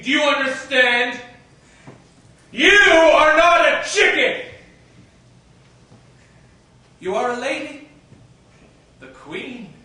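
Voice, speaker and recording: An actor playing a domineering, cruel old king, with a theatrical delivery that swings between booming shouts and low, menacing mutters. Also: exaggerated voice. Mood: commanding. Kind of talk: stage drama acting. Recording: echoey, distant stage recording with faint noise.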